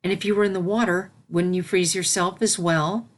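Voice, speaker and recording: A royal pony princess voiced by a woman with a fairly low female voice. She is a gentle mentor from a storybook, measured and instructive, consoling with patience. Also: fairly even intonation, natural voice. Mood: firm, instructive.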